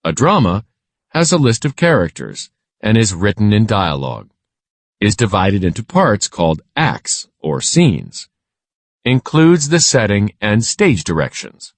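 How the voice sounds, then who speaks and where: A man reading a scripted children's lesson aloud in a measured, instructional way. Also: on the low side, big pitch swings, no echo.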